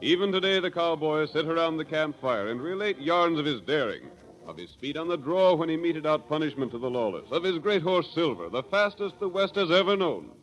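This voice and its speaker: A man's voice: on the high side, big pitch swings, brisk, a bit bright, dull audio. A man with an American accent narrating a scripted 1930s radio western in a dramatic, declamatory manner. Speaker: announcer, narrator. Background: music.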